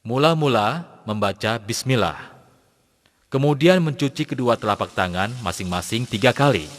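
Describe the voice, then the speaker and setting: A man voicing a patient cartoon Islamic teacher, explaining to young pupils in a measured, didactic way. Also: mid-range voice, expressive, natural voice. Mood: patient, instructive. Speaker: religious teacher. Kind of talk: scripted cartoon lesson narration. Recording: long hall-like reverb.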